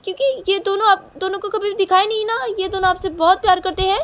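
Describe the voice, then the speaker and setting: A woman as a very shrill, whiny pleading young girl. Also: quick pace, a bit bright. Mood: insistent. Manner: insistent, whiny, protesting. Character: pleading young girl protesting she tells the truth. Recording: clear background noise.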